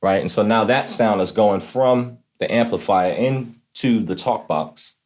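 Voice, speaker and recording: A man's voice: fairly low pitch, a little slow, over loud music. A man hosting an instructional demonstration, explaining things informally and often checking in with the listener. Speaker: host of a talk box tutorial series.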